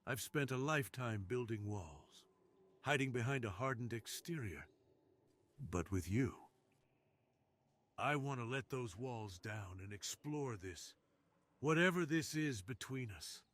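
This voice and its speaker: A man voicing an alluring, dominant crime boss in an intimate ASMR roleplay, speaking in a slow, teasing, hushed way. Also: mid-range voice, animated. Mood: tender, confiding. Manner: slow, teasing, hushed delivery that drops into whispers. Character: alluring, dominant, seductive male crime boss with a tender side.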